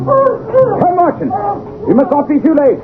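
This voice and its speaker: An actor playing a commanding, keen-minded detective in a 1970s radio drama, theatrical, assured and precise. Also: male voice, extremely high pitch, big pitch swings, measured pace, full character voice. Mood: urgent, agitated. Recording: muffled, narrow-band vintage radio, faint hiss.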